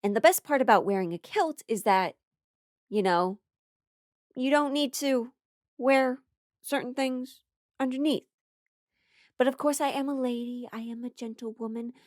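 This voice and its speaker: A woman's voice: fairly high pitch, unhurried, long pauses, a little mellow, no room sound. American woman, dry close sound, playful storyteller. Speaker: stand-up comedy performer. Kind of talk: casual comedic storytelling monologue.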